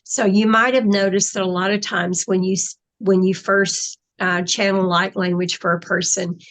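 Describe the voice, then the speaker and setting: A woman's voice: medium pitch, level tone, moderate pace, a bit bright. A woman in casual conversation, explaining her spiritual channeling in a reflective way, with trailing phrases.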